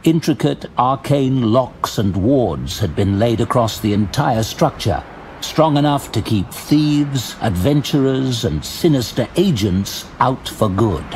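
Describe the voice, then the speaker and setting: A man's voice: medium pitch, darkish tone, slight background noise. Man narrating a fantasy audio drama. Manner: measured, dramatic storytelling. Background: faint music.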